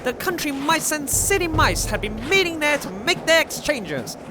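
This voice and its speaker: High, very lively storyteller for a gangster radio play, wry and colloquial female actor, music underneath. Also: moderate pace. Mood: wry, eager. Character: lively storyteller recounting gangster exploits with relish. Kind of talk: scripted narration in an audio drama.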